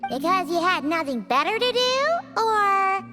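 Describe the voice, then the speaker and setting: A woman voicing a tiny, childlike fairy companion in a video game, squeaky, cheeky and curious. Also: very animated, unhurried, over music, full character voice. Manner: squeaky, speaks of herself in third person. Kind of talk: video game character acting.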